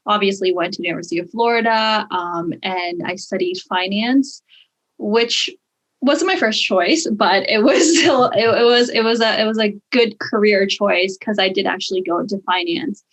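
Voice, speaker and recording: A woman's voice: mid-range voice, normal speed. A woman in her thirties from Hong Kong, a guest telling her story in casual chat over a video call, bubbly, laughing often and using many fillers. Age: 30s. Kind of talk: casual interview.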